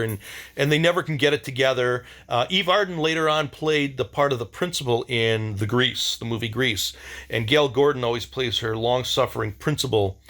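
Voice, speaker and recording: A man's voice: medium pitch, a bit bright. A man giving a casual, rambling diary-style monologue, enthusiastic and informal, with some slang. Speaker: video diary host.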